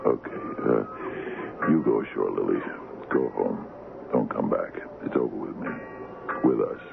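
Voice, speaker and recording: A dying, stubborn sea captain in an old radio drama. His extremely deep voice is heavily creaky, weary and halting, dragging slowly through repeated words on a thin broadcast recording. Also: heavily acted. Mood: weary resignation. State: weak, weary, faltering. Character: stubborn, gravely ill ship's captain. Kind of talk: 1970s radio drama acting. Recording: narrow phone-like old radio broadcast, loud noise.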